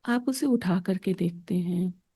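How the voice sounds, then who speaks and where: Very slow, mellow woman guiding meditation. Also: on the low side, husky. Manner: gentle, hushed pacing with short, spaced phrases. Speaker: meditation guide, therapist. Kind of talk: slow soothing guided meditation narration.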